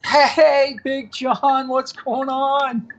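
A man's voice: very high voice, flat delivery, drawn-out words, muffled recording. Easygoing man rambling on a call. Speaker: podcast guest on a call. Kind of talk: casual rambling storytelling and chat. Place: calling in from a car.

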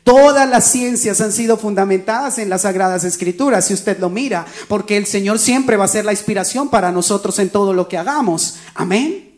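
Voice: medium pitch, fairly quick, a little mellow. A preacher with a Colombian accent gives a rambling, conversational sermon and keeps checking in with the listeners.

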